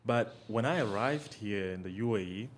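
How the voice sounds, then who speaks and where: A man's voice: on the low side, moderate pace, slight background noise. Earnest Cameroonian man telling his story. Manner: earnest, reflective, many "you know" fillers. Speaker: podcast guest. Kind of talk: casual interview talk.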